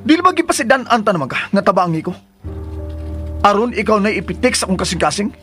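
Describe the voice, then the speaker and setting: High-voiced, troubled, defensive man. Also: very animated, fast talker, bright, forceful tone, faint music behind, full character voice. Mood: defensive, agitated. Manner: earnest, emotional. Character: troubled, defensive man torn between two loves. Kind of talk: Filipino radio drama acting.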